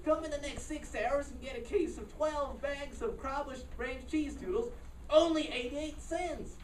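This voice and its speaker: A crazed discount-store salesman played by a woman delivers a pushy commercial parody in a high voice. The recording is distant and roomy, with some noise. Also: unhurried. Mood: manic, pushy. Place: staged reading in a room. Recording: echoey, noisy room, distant mic.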